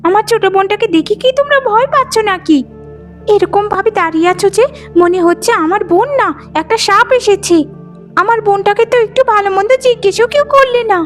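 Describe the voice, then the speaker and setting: Woman acting a very shrill-pitched, quarrelsome cartoon wife. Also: brisk. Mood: indignant. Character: quarrelsome, greedy young daughter-in-law, whining and resentful. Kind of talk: cartoon moral-story dialogue. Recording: music under the voice.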